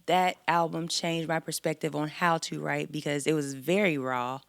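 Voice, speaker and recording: A woman singer, a guest on a podcast, talking in a soft, thoughtful and reflective way with a light, sweet voice. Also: low voice, steady tone, moderate pace, creaky, no room sound. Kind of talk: casual podcast chat.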